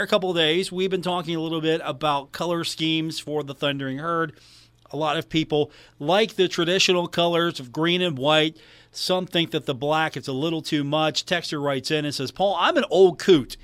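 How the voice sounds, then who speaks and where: A man's voice: on the high side, a bit bright, no room sound. An American man talking as a sports radio host, delivering commentary and announcements into a close studio microphone. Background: music.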